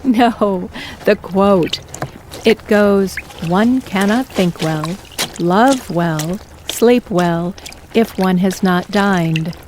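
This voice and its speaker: A woman playing a slow, firm mom. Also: mid-range voice, a little mellow, a little hiss or hum, low-quality recording. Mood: insistent. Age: middle-aged. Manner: measured, insistent. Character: firm, pushy middle-aged mom, a doctor. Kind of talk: audio drama acting.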